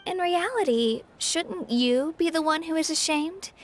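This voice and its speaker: A woman's voice: very high voice, measured pace, faint music behind. An actress playing a scornful, accusing woman believer in a video game, with formal, cutting phrasing. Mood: indignant. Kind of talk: dramatic video game voice acting.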